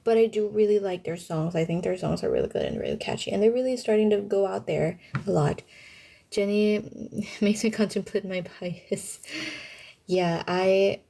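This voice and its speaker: A young woman talks casually to her livestream viewers in a soft-edged, mellow voice at a medium pitch. She answers questions in informal, youthful slang. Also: expressive, normal speed, vocal fry. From Thailand.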